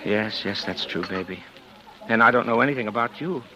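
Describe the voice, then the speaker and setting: A man's voice: medium pitch, brisk, bright, forceful tone, lightly acted. An actor playing a guarded, well-off bachelor wooing a woman in a 1940s radio drama, casual and evasive. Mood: confessional, tender. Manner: casual, evasive, courting endearments. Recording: dull vintage 1940s radio recording.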